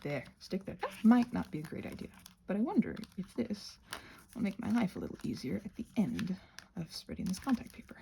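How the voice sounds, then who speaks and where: A woman hosting a craft channel in a casual ramble, playful and whimsical, drifting onto tangents and talking to herself, with a slightly husky voice. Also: medium pitch, very animated, a little fast, mellow, a little hiss or hum. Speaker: host of a craft and ASMR channel.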